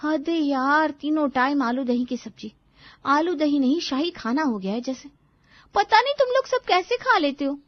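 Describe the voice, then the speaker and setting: A woman's voice: high-pitched, expressive, moderate pace, no room sound, highs cut off, pitched above the performer's own voice. A woman voicing an irritable, sarcastic young daughter in a cartoon family story, complaining and taunting. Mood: sarcastic complaint.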